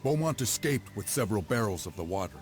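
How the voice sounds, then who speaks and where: Very deep-voiced wary soldier. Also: male voice, sing-song, quick pace. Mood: grave, dry. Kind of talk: video game cutscene acting. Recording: game music under the voice. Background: music.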